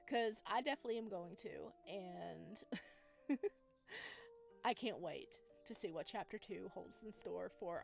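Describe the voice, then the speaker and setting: A woman hosting a gaming video, giving casual, gushing, enthusiastic let's-play commentary. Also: fairly high pitch.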